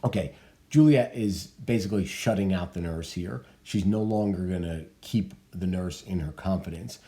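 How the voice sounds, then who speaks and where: A man teaching, thoughtfully explaining a play with many hesitant pauses and fillers. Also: sits low, warm tone. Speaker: teacher reading a play aloud. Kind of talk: explanatory commentary.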